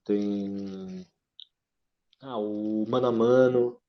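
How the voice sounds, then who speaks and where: A man's voice: lowish voice, steady tone, drawn-out words. A Brazilian man, a student in a workshop, chatting casually over a muffled online call from home. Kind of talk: casual chat.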